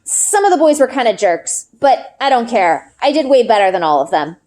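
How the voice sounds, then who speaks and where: Storybook girl, young, earnest and anxious, played by a woman. High register, very animated, insistent delivery. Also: normal speed. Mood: defensive.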